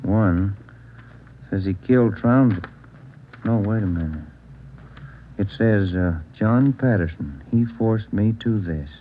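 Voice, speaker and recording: Low-voiced, plainspoken police detective. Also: male voice, expressive, slow, pauses often, a little hiss or hum. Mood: sober. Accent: American. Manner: direct, businesslike. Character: plainspoken male police detective, steady partner. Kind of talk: mid-century radio drama acting. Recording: thin, lo-fi old radio recording.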